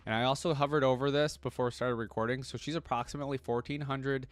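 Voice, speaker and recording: A man's voice: medium pitch, steady tone, fast talker, no room sound. A young man hosting a reaction video, talking casually and upbeat to his viewers with plenty of slang. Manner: chatty, upbeat, addresses viewers as guys; casual slang, repeats freaking.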